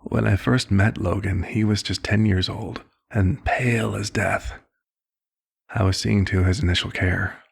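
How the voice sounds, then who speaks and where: A man playing an old, compassionate vampire doctor in an ASMR roleplay, with a husky, gravelly voice and a measured, slightly formal, paternal manner. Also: fairly low pitch, steady tone, heavily acted. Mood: reflective, somber.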